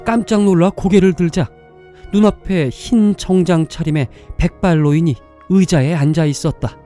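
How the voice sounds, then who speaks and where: A man's voice: fairly high pitch, fairly even intonation, normal speed, warm tone. A man reading scripted story narration as the narrator of a drama. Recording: music behind the voice.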